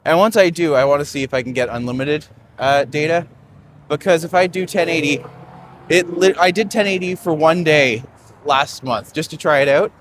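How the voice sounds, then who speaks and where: A man's voice: fairly high pitch, moderate pace, a bit bright, slight background noise. A young man hosting a live-streamed walking tour outdoors on a city street, rambling and chatty with lots of fillers, recorded on a phone.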